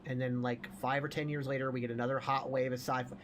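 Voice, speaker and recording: A man's voice: mid-range voice, little pitch movement, fast, a bit bright. Opinionated man swearing through podcast chat, some noise. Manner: profane, opinionated. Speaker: podcast host.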